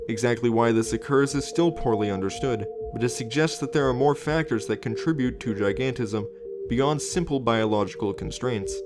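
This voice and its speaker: A man's voice: medium pitch, moderate pace. A man hosting and narrating a scripted informational documentary. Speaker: host and narrator. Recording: music under the voice. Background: background music.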